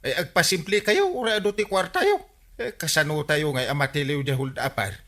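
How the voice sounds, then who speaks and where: A man's voice: on the high side, animated, a little fast, bright, forceful tone. A man with an Ilocano accent playing a teasing, exclaiming village friend in a comedy radio drama. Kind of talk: broadcast comedy drama acting.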